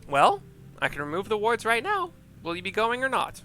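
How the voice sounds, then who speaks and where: A man's voice: sits high, wildly swooping pitch, normal speed, bright, forceful tone, faint music behind, voice pushed up, exaggerated voice. A man voicing a crotchety old sorceress for a game, sardonic, brusque and imperious.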